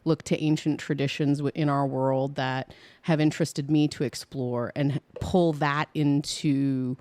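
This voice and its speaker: A woman co-hosting a podcast, talking casually and reflectively, pausing to search for her words. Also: a bass voice, steady tone, darkish tone, no echo. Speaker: podcast co-host.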